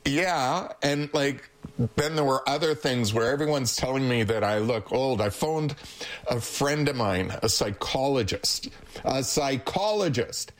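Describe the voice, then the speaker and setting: A man's voice: medium pitch, animated, unhurried. Chuckling seventy-year-old man telling stories. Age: 70s. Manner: chuckling storyteller, teasing. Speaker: therapist and social worker, radio guest. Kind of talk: casual radio chat.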